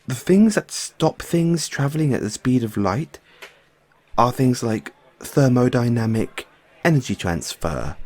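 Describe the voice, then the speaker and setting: A man playing a nervous, geeky mad scientist boyfriend in an audio roleplay, explaining things haltingly to his partner. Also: mid-range voice, darkish tone, heavily acted. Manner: hesitant, stammering, explaining at length. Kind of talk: audio roleplay acting, addressing the listener as partner.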